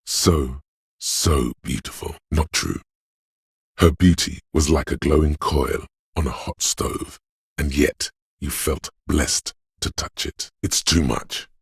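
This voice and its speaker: Super low husky inner voice of pain, grim n brooding, slow heavy game narration. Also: male voice, dry recording, clean studio sound, exaggerated voice. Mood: anguished, reverent. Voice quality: very deep, gravelly, husky. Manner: slow, deliberate, weighty, intense delivery. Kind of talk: dramatic video game narration.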